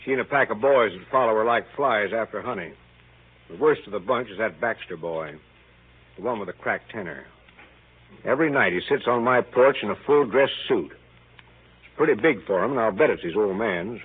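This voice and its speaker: A man's voice: lowish voice, expressive, moderate pace, breathy edge. A man playing an exasperated, sardonic middle-aged father, narrating a 1930s radio drama in a dry, weary, complaining way. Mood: wry, exasperated. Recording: tinny 1930s radio recording.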